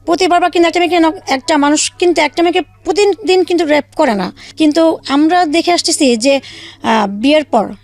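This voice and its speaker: Gravelly high-voiced woman telling her story. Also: dry recording. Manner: halting, many fillers and restarts. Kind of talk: emotional personal storytelling.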